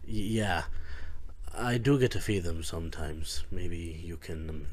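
A man's voice: deep voice, unhurried, slight background noise. A man playing a shy, awkward lab scientist in a comic dub, hesitant and stammering. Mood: shy, nervous. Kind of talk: comic book dub acting.

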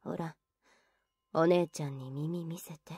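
A woman playing a young, teasing older sister in an anime ASMR roleplay, scolding yet soft and intimate, close to the microphone. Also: deep voice, steady tone, a little slow, husky, no room sound, exaggerated voice. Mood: teasing, fond. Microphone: very close, dry microphone.

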